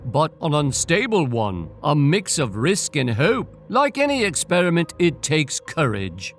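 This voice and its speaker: A man's voice: on the high side, wildly swooping pitch, unhurried, fairly bright tone, faint music behind, full character voice. A man voicing a playful village alchemist in a storybook tale.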